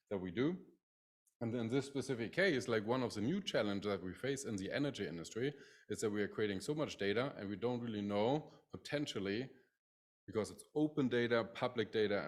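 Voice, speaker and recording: Low-voiced German man presenting. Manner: many "like" fillers, restarts. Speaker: professor presenting his lab. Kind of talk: conference presentation.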